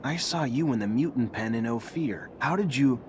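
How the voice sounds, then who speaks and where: An actor playing an earnest, polite young fugitive hero in a video game, plain-spoken. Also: male voice, mid-range voice, normal speed, lightly acted. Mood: earnest, curious. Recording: game dialogue over clear ambient noise.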